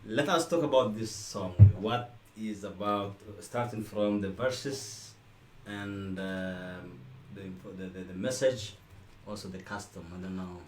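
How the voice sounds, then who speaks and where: A man, an English speaker, hosting an interview and asking questions in halting, hesitant English. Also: lowish voice, fairly even intonation. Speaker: interview host. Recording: roomy echo with faint background noise.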